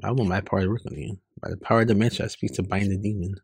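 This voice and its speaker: A haughty young woman exorcist from a webcomic, read by a man, speaks in solemn taunts. The voice is low and gravelly, stern and commanding toward demons. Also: fairly even intonation, racing, a little mellow, no room sound, studio quality.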